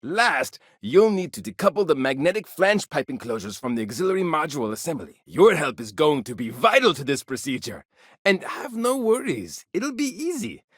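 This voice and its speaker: A man voicing an eager, curious chief engineer of an aging colony starship in a video game, chatty and excitable. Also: fairly high pitch, moderate pace, husky, no room sound, clean studio sound. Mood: instructive. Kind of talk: video game character dialogue.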